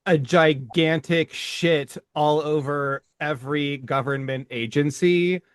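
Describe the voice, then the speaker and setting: A man in casual podcast chat cuts in with dry, sardonic jokes and sympathetic remarks. His voice is a little high for a man. The recording is close and dry. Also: slow, clean tone, dry recording. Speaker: podcast co-host.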